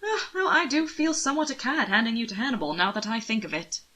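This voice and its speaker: A woman plays a young aristocratic cad in an audiobook. He drawls with suave amusement and likes to tease. The voice is bright and crisp-edged, with a rakish confidence. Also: a little high, very animated, fast talker, voice pushed up, full character voice. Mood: wry, calculating. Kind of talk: audiobook character voice.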